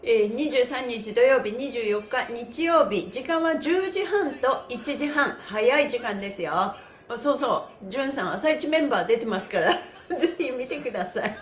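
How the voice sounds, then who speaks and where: A woman hosting a livestreamed exercise class, chatting to viewers in a cheerful, energetic, polite presenter manner. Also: fairly high pitch, moderate pace. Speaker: host of a livestreamed stretching class, pantomime performer. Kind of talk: upbeat exercise instruction and casual livestream chat to viewers. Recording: roomy echo, slight background noise.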